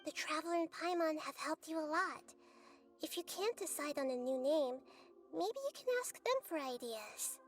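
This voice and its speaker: A wise little goddess from a fantasy game with a high, gentle voice. She speaks in a measured, philosophical way, sounding a little distant over music. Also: lively intonation. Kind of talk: dramatic game voice acting.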